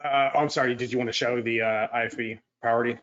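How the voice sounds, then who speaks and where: A man's voice: medium pitch, fairly even intonation, fast talker, a bit bright. Male tech co-presenter, mid-range voice, careful and tentative in casual Q&A, slightly lo-fi online sound. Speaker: expert co-presenter answering audience questions. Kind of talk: casual live webinar discussion. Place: livestreamed online session.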